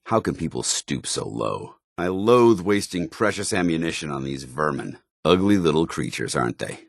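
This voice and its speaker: An actor playing a stern, zealous soldier companion in a video game, with an American accent and a formal, earnest military delivery. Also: male voice, very deep voice, very animated, moderate pace, vocal fry, no room sound, studio quality, exaggerated voice. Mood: disgusted.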